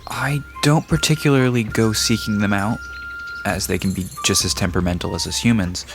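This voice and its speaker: A man's voice: lowish voice, brisk, over music, lightly acted. A man playing a mild, apologetic park guide in an audio drama, talking casually and a little hesitantly. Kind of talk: conversational audio drama acting.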